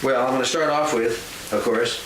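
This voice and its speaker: A man gently interviewing a child at home, coaxing answers and laughing often. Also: mid-range voice, fairly even intonation, fairly quick. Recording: echoey room, far from mic, noisy.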